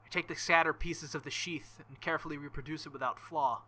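A man's voice: fairly high pitch, fairly even intonation, quick pace, sharp tone, a little hiss or hum. A young man reading a visual novel aloud as a let's play host, with joking, crude asides between passages.

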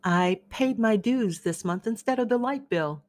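A woman giving voice to a dreamy young man who is impatient to get away. He speaks in a brooding, wry way, set a little lower than her own voice. His pitch still roams widely as he muses. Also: lively intonation, moderate pace, a little mellow, lightly acted. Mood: bitter. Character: restless young man, a dreamy warehouse worker. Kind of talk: dramatic reading of a play.

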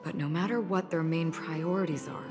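Actress as a low-voiced, solemn woman warrior. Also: lightly acted. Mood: calm, serious. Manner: measured, grave, formal delivery. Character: calm, solemn, enigmatic adult woman warrior in a sci-fi fantasy game. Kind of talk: video game voice acting. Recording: game audio with music behind.

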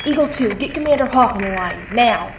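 Woman as brisk, high-voiced military operator. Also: animated, roomy sound, noisy background. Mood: brisk, formal. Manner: clipped, formal. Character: brisk, dutiful female military control operator. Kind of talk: audio drama acting.

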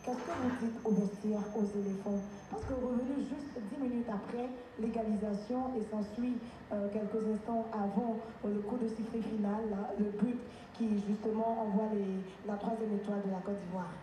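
A woman's voice: medium pitch, fairly even intonation, normal speed, few pauses. A woman journalist asking a coach a long, winding question at a press conference. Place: large press conference room. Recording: echoey hall with noisy background.

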